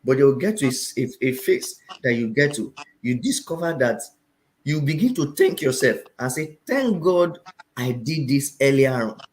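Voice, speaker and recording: A man with a Nigerian accent, a finance teacher talking as a guest in an interview, animated and often repeating phrases for emphasis. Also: medium pitch, normal speed, slight background noise. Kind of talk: interview talk.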